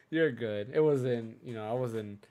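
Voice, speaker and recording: A man hosting a casual gaming podcast, chatty and rambling, a bit hoarse from a cold. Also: mid-range voice, a little mellow. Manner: chatty, rambling, self-interrupting. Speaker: podcast host.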